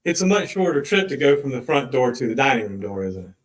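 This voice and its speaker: A man's voice: mid-range voice, big pitch swings. Man questioning a witness in court. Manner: deliberate, leading questions with tag endings. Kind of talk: courtroom cross-examination.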